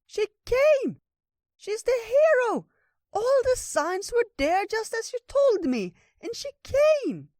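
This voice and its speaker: A tiny storybook creature, extremely high and squeaky. It is eager and anxious, repeating itself with excitable energy in a dry recording. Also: slow, no echo, exaggerated voice. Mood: overjoyed.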